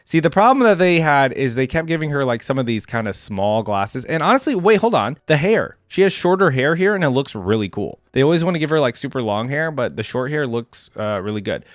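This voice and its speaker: A man's voice: medium pitch, sing-song, brisk, few pauses, no room sound. Casual man reacting aloud, dry close sound. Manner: slangy, thinking aloud, second-guessing himself. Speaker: reaction video host, gaming fan. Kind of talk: casual unscripted reaction commentary.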